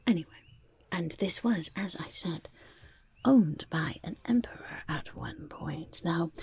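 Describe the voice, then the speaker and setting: A woman voicing a quirky, shifty goblin merchant in a whispered ASMR roleplay, breathy and crackly, with halting, drawn-out salesmanship and muttered asides. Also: low-pitched, exaggerated voice. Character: quirky shifty goblin merchant peddling grotesque wares, eager and defensive.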